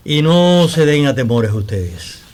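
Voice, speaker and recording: An actor playing a confident, courteous young landowner in a radio drama, speaking in formal period language. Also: male voice, on the high side, a little mellow. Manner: formal, self-assured period speech. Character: confident, courteous, devout young gentleman of the hacienda.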